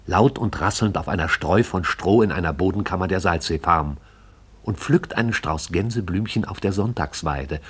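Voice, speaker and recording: Husky male radio-play narrator, low voice. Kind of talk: scripted radio drama narration.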